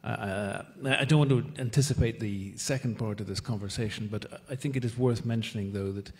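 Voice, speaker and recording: A man with a Northern Irish accent, speaking as a diplomat on a panel, measured and deliberate. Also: medium pitch. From Northern Ireland. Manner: measured, deliberate, with occasional repeated words. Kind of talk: formal panel discussion. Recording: long hall reverb.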